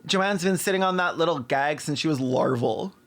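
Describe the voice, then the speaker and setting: A man's voice: a little high, normal speed, fairly bright tone. A man with a Canadian accent delivering scripted comedic commentary in a sardonic, theatrical way. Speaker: video essay host.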